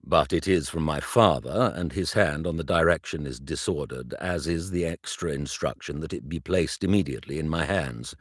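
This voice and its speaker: A man voicing a reserved young gentleman who speaks in a clipped, formal manner in a story reading. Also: extremely low pitch, normal speed, hardly any pauses, no echo, clean studio sound.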